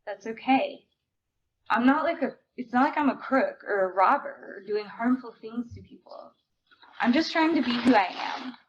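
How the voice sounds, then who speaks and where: A woman giving an expressive, emphatic dramatic reading of book excerpts at a live event. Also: a little high, moderate pace. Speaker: theater reader. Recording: dull recording with clear background noise.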